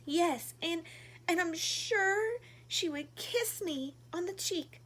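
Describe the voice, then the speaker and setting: A woman's voice: extremely high pitch, takes it slowly, a bit bright, pitched above the performer's own voice, full character voice. A woman voicing a lonely little bird child in a storybook, pleading and whiny in a childlike way. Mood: tearful, wistful.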